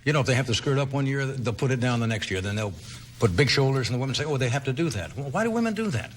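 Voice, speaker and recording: A man's voice: mid-range voice, rapid-fire. A man hosting a late-night talk show, reading comic rhymes and teasing his guest in interview chat. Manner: wry, teasing, lively delivery. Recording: noisy broadcast with crowd. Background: studio audience laughter.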